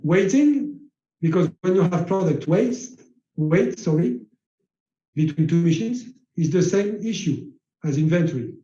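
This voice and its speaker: French-native male lecturer, mellow tone, halting delivery with fillers, echoey room. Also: high voice, animated, lo-fi sound. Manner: slow, halting, many uh fillers. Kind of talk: slide-based lecture.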